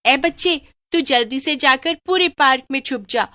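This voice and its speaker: A woman voicing a menacing, gleeful witch girl among ghost children in a cartoon horror story, taunting with drawn-out threats and cackles. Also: high-pitched, bright, forceful tone, no echo, studio quality, pitched above the performer's own voice. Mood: taunting, cackling.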